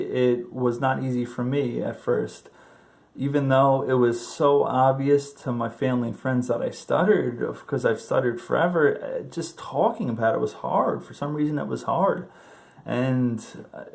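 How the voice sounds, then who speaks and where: A man's voice: medium pitch, creaky, darkish tone. A man in his thirties who stutters, talking casually to camera about his own experience, with repeated words and fillers. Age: 30s. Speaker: video host sharing stuttering tips.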